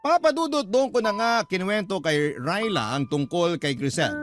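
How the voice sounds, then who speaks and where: A man's voice: high voice, lively intonation, moderate pace, a bit bright. A man with a Filipino accent narrating a scripted first-person radio story in a confiding storytelling way. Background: prominent music.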